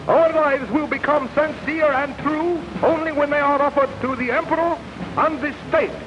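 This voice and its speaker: A woman's voice: high voice, moderate pace, close to an everyday voice. A woman playing a teacher solemnly reciting an imperial creed in a 1940s propaganda film. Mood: solemn recitation. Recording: noisy muffled 1940s film soundtrack.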